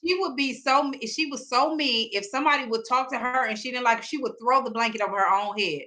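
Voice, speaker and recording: A woman's voice: a little high, quick pace, bright, forceful tone. A woman in her forties from Michigan, a podcast guest talking in an easygoing way with a Michigan accent. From Flint, Michigan. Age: 40s. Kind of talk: casual chat.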